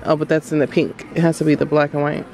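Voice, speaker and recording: A woman's voice: sits low, level tone, fast talker, warm tone. A woman in her thirties vlogging casually, talking directly and familiarly to her viewers. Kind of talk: casual chatty vlog. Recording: music behind the voice. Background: background music.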